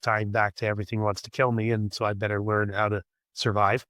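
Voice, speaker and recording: A Canadian man being interviewed on a podcast, raspy as he loses his voice, thinking aloud with repeated words. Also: lowish voice, flat delivery, a little fast, fairly bright tone, dry recording. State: losing his voice. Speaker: podcast guest, scaffolding company executive. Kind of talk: conversational interview.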